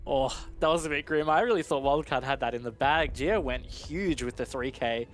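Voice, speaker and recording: A man's voice: medium pitch, moderate pace, soft background music. A man giving analytical live commentary on an esports match in a conversational, explanatory way. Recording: clear game noise, gunfire behind.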